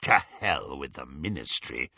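A menacing werewolf gang leader from a fantasy audiobook. His voice drops very low into a raspy, breathy growl. He speaks slowly, with quiet threat, on a dry, slightly dull recording. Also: very animated, dry recording, full character voice.

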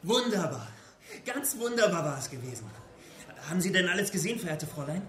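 Echoey, self-important stormtrooper. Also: male voice, sits high, lively intonation, fast, fairly bright tone. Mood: elated, courting. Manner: stiffly courteous, self-important. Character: excitable, touchy uniformed Nazi stormtrooper courting a young woman. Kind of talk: German radio drama acting. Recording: echoey room, off from the mic.

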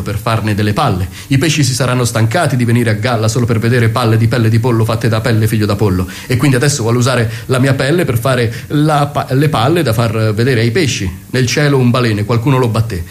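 A man narrates a mock-noir comic monologue in a theatrical, deadpan way. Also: mid-range voice, fairly quick.

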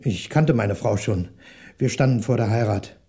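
Courteous ex-detective shopkeeper, slightly rough mellow voice, hesitant, evasive, stalling interjections, vintage German radio drama. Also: male voice, on the low side, moderate pace. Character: polite, evasive male French shopkeeper, former private detective. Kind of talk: 1960s German radio crime drama acting.

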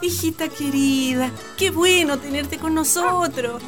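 A woman playing a tender, excited mother in a radio drama, doting on her grown daughter. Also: sits high, measured pace, soft background music. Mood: overjoyed.

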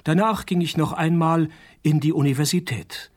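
An actor playing a wry, cynical private detective who narrates a parody noir radio play in a laconic, deadpan way. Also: male voice, fairly high pitch, normal speed, lightly acted. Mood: wry narrating.

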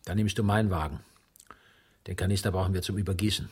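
Deep husky man, rough and breathy, weary anxious accomplice, arrogant, naturalistic radio play. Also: fairly even intonation, quick pace. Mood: tense, practical. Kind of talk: naturalistic radio drama dialogue.

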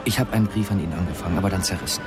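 Radio drama part: man playing anxious rationalist, low-pitched, quick delivery, intense, over prominent music. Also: natural voice. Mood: agitated, pressing. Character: agitated, rational man, anxious and bitterly ironic. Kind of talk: naturalistic radio drama acting.

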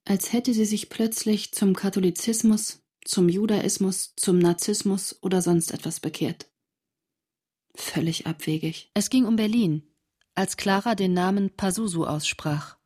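Husky, intimate woman diarist. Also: mid-range voice, mellow. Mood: reflective. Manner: measured, intimate, literary delivery. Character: reflective French woman reading her 1945 diary of friends. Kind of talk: literary radio drama narration.

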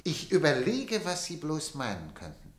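A scheming newspaper boss. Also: male voice, on the high side, huge pitch swings, moderate pace. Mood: slyly evasive. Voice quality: theatrical, feigns innocence, hesitant when cornered. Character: scheming middle-aged male newspaper boss. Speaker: newspaper director. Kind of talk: radio drama acting.

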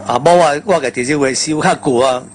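An older man with a mid-pitched voice reminisces casually as an interview guest. He repeats phrases for emphasis and exclaims as he goes. There is faint noise behind him. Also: fairly quick. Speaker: veteran radio drama performer being interviewed.

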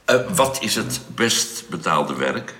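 Shrewd spaceship crewman, extremely low, swooping intonation, deliberate, reverberant radio-play sound. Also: big pitch swings, bright, forceful tone, heavily acted. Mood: curious, musing. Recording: echoey hall-like reverb.

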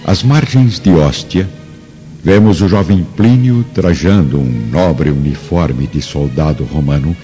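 A man narrates a radio drama in a deep voice. His delivery is solemn, formal and dramatic, over clear music on a slightly dull recording. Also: mellow. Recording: dull sound with music bed.

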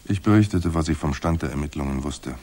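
Hard-boiled crime narrator, extremely low, husky, dry and terse delivery. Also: male voice, fast talker, warm tone. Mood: tense, dry. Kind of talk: scripted noir narration in radio drama.

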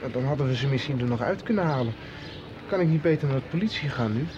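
A man's voice: mid-range voice, expressive, fast talker, natural voice. An actor playing a troubled young man searching for answers in a radio drama. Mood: confused, uneasy. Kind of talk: 1990s radio drama acting. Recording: dull sound with clearly noisy ambience.

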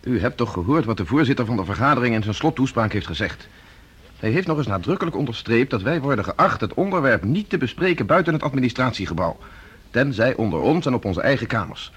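An actor playing a cautious, sober professor with measured, reasoned delivery in a radio play. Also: male voice, mid-range voice, very animated, a bit bright, slightly rough. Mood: stern. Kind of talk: Dutch radio play dialogue.

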